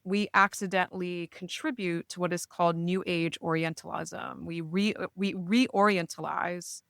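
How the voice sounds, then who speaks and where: A woman's voice: fairly low pitch, steady tone, unhurried, gravelly, fairly bright tone, no echo, clean studio sound. A woman, a therapist speaking reflectively in a casual podcast chat, often checking in with "right?". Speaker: therapist, guest.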